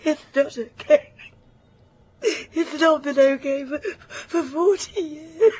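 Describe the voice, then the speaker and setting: A woman's voice: sits high, slow, darkish tone. A woman playing a troubled, guilt-ridden woman in her fifties in a radio drama, hesitant and brittle. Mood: anguished, tearful. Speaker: actor in a radio play. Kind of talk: naturalistic radio drama acting, confessional.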